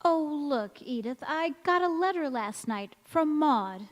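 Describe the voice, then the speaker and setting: A woman's voice: high voice, animated, unhurried. A woman playing a polite, anxious married woman with genteel manners in a stage radio play. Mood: guarded. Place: large hall, live stage performance. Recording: echoey hall with long reverb.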